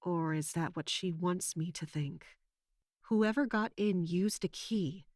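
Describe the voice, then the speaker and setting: Determined female sleuth, lowish pitch, measured and earnest, polite, audio drama narration. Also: a little mellow, dry recording, clean studio sound. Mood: pensive, suspicious. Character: determined, polite young female investigator narrating her inquiry.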